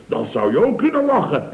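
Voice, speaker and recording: A man's voice: high-pitched, full character voice. A wheedling contractor boss from a 1960s radio comedy, speaking Netherlands Dutch. His voice swoops expressively as he blusters, hints and feigns innocence, repeating himself. The old recording is muffled and roomy. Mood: feigned jollity. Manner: blustering, insinuating, mock-innocent, stammering repetitions. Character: wheedling, scheming male building contractor boss.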